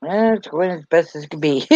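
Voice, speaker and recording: A woman's voice: on the low side, animated, moderate pace, warm tone, dry recording, dull audio. A woman hosting a casual livestream chat, agreeable and laughing between her remarks. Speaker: livestream host.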